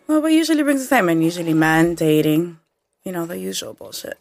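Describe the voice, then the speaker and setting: A woman's voice: fairly low pitch, very animated, fast, vocal fry, a little mellow, soft background music. A woman who is a guest on a podcast, chatting casually in a studio and firing back blunt retorts. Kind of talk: casual chat. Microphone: studio mic, pulled close.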